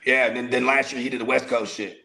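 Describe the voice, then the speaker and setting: A man's voice: mid-range voice, level tone, fast, vocal fry, sharp tone. A man in his forties co-hosting a podcast, rambling through casual, profane banter and laughing often. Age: 40s. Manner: rambling, laughs often, says "you know what I mean". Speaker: podcast co-host.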